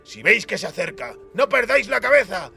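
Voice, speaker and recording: A man's voice: high-pitched, very animated, a little fast, gravelly, bright, forceful tone, faint music behind, voice pushed up, exaggerated voice. A man voicing an alarmed, cursing villain leader who barks urgent orders in an audiobook.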